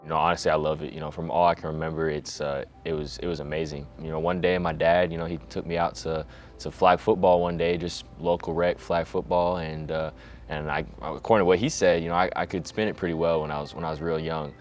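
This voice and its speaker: A teenage boy from Hawaii, a high school quarterback, talking reflectively in a documentary interview with many fillers. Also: level tone, fast. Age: teens.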